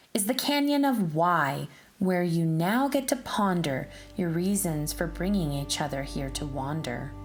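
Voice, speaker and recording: Female storybook narrator for kids, lively pitch swings, unhurried pacing, music underneath. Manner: animated, deliberate, addressing young listeners. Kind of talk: expressive rhyming read-aloud for children.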